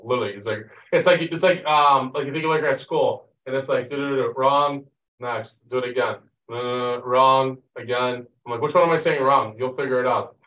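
A man's voice: medium pitch, fairly bright tone. A man leading a group study, talking informally and rambling with plenty of asides. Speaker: Bible study leader. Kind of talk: casual group teaching and chat. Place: meeting room with long conference table. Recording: roomy, picked up from a distance.

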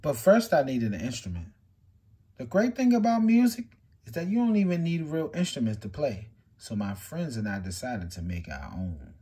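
A man reading a picture book aloud with expressive, swinging delivery. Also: normal speed, slightly rough. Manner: expressive storytelling with big swings in pitch and loudness.

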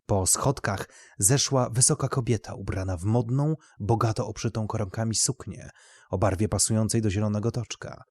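A man's voice: lowish voice, moderate pace, a little mellow, no echo, clean studio sound. A man narrating literary fiction as a scripted audio story.

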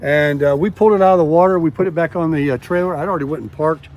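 An older man vlogging outdoors at a boat ramp, talking in a chatty, animated way with lots of fillers. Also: a little high, racing, highs cut off. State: out of breath at times. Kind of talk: casual vlog narration. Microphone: camera or selfie stick at arm's length.

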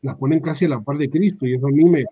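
A man's voice: medium pitch, rapid-fire, dark tone, husky. A Honduran man on a video call from a room at home, chatting at length in a panel and often restarting his sentences. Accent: Honduran Spanish. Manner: talkative, restarts mid-phrase. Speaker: guest. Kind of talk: casual panel chat. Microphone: webcam video call. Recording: dull lo-fi video call audio.

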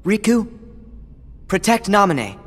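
A high, youthful boy's voice, echoing in a hall. He is a brave game hero who speaks slowly and earnestly, protective and direct. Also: very animated, a bit bright, faint music behind, heavily acted. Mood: determined, concerned. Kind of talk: dramatic video game acting. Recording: reverberant hall sound.

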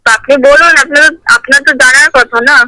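Sarcastic young woman, high and bright. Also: steady tone, no echo, rough recording. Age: 20s. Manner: sarcastic, quick comebacks. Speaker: prank call target. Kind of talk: phone conversation.

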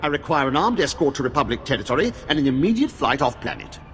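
A demanding male Imperial official in a video game, formal and imperious. His pompous voice swings widely in pitch and carries a long reverb. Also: very animated, fast, fairly bright tone, soft background music. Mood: imperious, anxious. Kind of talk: video game cutscene acting.

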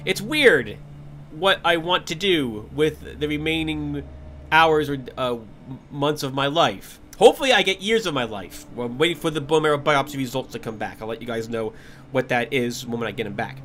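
A man hosting a gaming channel, talking casually and candidly to viewers over gameplay, stuffy with a cold, rambling with restarts and soft laughs. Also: medium pitch, normal speed, a little hiss or hum. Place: at home in front of his computer.

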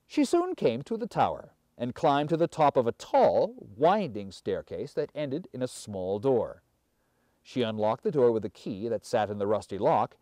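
A man in his fifties reading a fairy tale aloud as a storyteller, with measured, theatrical and deliberate phrasing. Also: mid-range voice, mellow, dry recording. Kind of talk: dramatic fairy tale narration.

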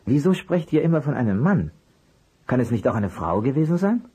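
A man playing a polite, persistent private detective in an audio drama, with a husky voice and a courteous, probing way of asking. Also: mid-range voice, big pitch swings, fairly quick, warm tone, full character voice. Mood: probing, thoughtful. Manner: courteous, probing, hesitant at times. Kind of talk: German audio drama acting.

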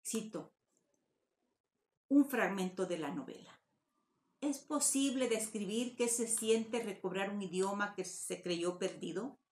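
An older woman with a Mexican Spanish accent, reading a prepared conference paper in a measured, formal way over a video call from her home study. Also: medium pitch, a little mellow. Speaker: academic conference speaker. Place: home study with bookshelves, indoors. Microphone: webcam on video call. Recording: roomy echo.

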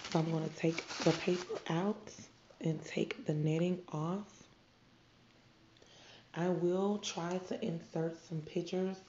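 A woman's voice: deep voice, warm tone, slight background noise. A woman with a stuffy nose from a cold, chatting to viewers as she unboxes products. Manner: chatty, addresses viewers as "you guys". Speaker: beauty vlogger. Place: indoor room. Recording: muffled, echoey room.

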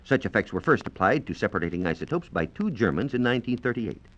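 Low-voiced American man, animated 1940s radio explainer. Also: a little hiss or hum. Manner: formal, measured explanations. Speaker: science reporter on a radio program. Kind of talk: 1940s radio science talk, explanatory scripted delivery. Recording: dull, band-limited 1940s radio transcription disc.

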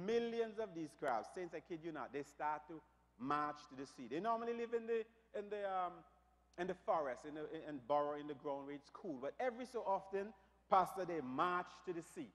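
Raspy-voiced preacher in a reverberant church. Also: male voice, a little high. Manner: builds from quiet to loud, preaching fervour. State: slightly raspy voice from shouting. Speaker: pastor. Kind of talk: sermon. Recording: long reverb of a church hall.

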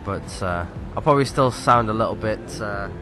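A man's voice: fairly low pitch, lively intonation, moderate pace, music in the background. Nasal man doing gameplay commentary. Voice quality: slightly nasal from a lingering cold. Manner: rambling, lots of ums and likes. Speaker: gaming YouTuber. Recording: game audio noise behind. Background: racing game sounds.

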